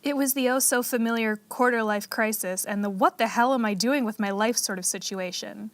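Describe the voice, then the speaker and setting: A woman host giving a scripted podcast narration in a smooth, conversational read. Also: fairly high pitch, brisk.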